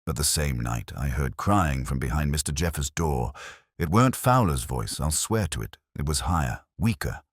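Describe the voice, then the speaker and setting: Guy doing a harried storybook landlady, uneasy, deferential, colloquial, really low voice but up and down. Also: expressive, moderate pace, husky, no echo, studio quality, full character voice. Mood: anxious, insistent. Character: harried, deferential working-class London landlady, uneasy and insistent. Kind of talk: audiobook detective story dialogue.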